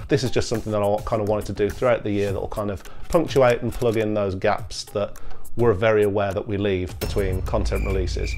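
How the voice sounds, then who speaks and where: A man's voice: on the low side, a little mellow, a little hiss or hum. A man, hosting a beer travel vlog in a chatty, wry way and swearing casually, talking to a camera in a small room.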